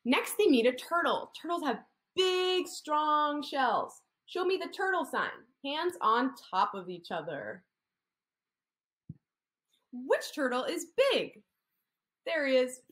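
A woman in her thirties, an occupational therapist, reads a picture story aloud to young children. She talks in a fairly high, very lively sing-song voice, coaxing the kids to copy her hand gestures. She is recorded indoors on a laptop webcam. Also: takes it slowly. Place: indoor room.

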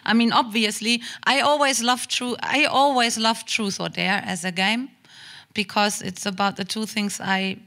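A woman's voice: medium pitch, fairly even intonation, a little slow, pushed and bright. A middle-aged woman answering a question on a stage panel, thoughtful, often restarting and laughing between points. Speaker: panel guest, theatre founder. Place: stage in a large auditorium. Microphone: boom mic on stand.

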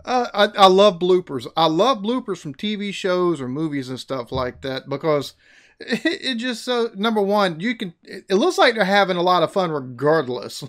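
American guy in his 30s chatting on a headset, slightly high voice, laughs easy. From United States. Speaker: reaction video host. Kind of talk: casual chat. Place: small room in front of a green screen.

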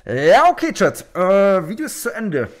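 A man's voice: high-pitched, wildly swooping pitch, normal speed, soft background music. Male streamer, slangy casual commentary to chat, studio mic, home gaming room. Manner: slangy, addresses the chat directly. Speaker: streamer reacting to a video. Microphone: studio mic on an arm with headset.

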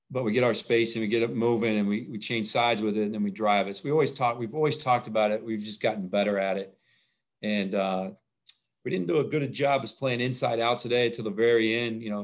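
A middle-aged man, a basketball coach, talking plainly over a video call at a postgame press conference, often restarting his phrases. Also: mid-range voice. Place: indoors in front of a logo backdrop. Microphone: video call webcam.

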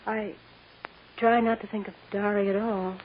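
An actress playing a guarded, soft-spoken American woman in an old-time radio drama, murmuring short, hesitant replies. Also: mid-range voice, flat delivery, normal speed, lightly acted. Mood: guarded, wistful. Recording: muffled, hissy old radio recording.

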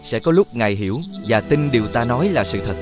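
A man voicing a wise, friendly talking fox in an animated fairy tale, earnest and insistent as he gives advice. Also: mid-range voice, fast talker, over music, lightly acted.

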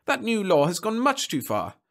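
A man voicing an excitable, smug bureau official with an emphatic manner in a science fiction story. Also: on the high side, moderate pace, voice pushed up. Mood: outraged, ranting. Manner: effusive, emphatic.

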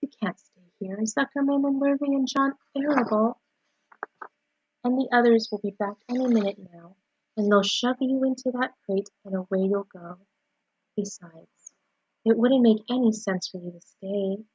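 A woman voicing a calm, dying female spider in a storybook, low, weak and gently firm. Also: moderate pace, slightly rough, no room sound, lo-fi sound.

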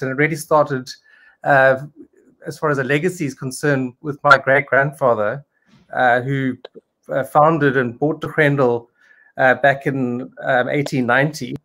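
A man in his forties, a wine producer answering interview questions over a video call, friendly and halting with many ums. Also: mid-range voice, a bit bright, low-quality recording. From South Africa. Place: home study with bookshelves.

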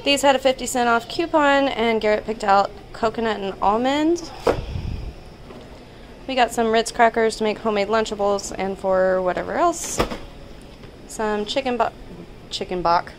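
A woman in her thirties speaks in a fairly high voice. She chats informally, listing items as a vlog host. There is some household noise behind her. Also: normal speed. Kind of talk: casual chatty grocery haul narration. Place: indoors in a home kitchen.

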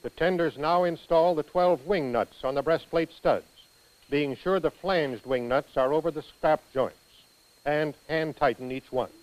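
An American man reading scripted instructional narration for a training film in a precise, measured manner. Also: fairly high pitch, rough recording.

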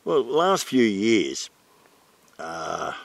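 An older Australian man being interviewed outdoors as a retreat owner, chatting casually in a halting, plain-spoken way. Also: lowish voice, big pitch swings, creaky, dry recording. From New South Wales, Australia. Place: outdoors at a garden table in bushland.

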